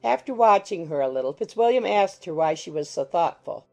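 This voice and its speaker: A woman's voice: fairly low pitch, lively intonation, brisk, vocal fry, darkish tone, faint music behind. A woman reading scripted literary narration for an audiobook.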